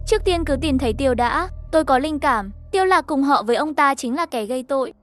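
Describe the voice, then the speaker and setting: A woman's voice: high-pitched, expressive, fast talker, soft background music. A woman reading a scripted story, voicing the narration and all the dialogue herself. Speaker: narrator of an animated horror story recap.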